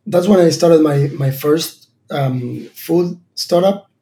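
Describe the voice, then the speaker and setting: A man's voice: a little high, slow pace, warm tone. A man in his thirties from Argentina, a startup founder answering interview questions thoughtfully, with many fillers and restarts. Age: 30s.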